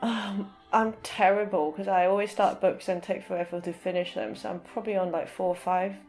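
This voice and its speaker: A woman's voice: mid-range voice, fairly even intonation, fairly quick, darkish tone, faint music behind. A woman speaking as a podcast guest in casual, somewhat hesitant conversation, often rephrasing as she goes. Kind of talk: casual chat.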